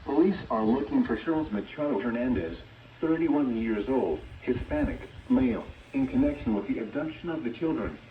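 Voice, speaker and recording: A tinny, distant radio transmission with faint noise carries a synthetic male voice of medium pitch. He reads an emergency bulletin as an automated announcer, formal and measured. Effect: synthetic voice over radio. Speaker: weather radio alert announcer.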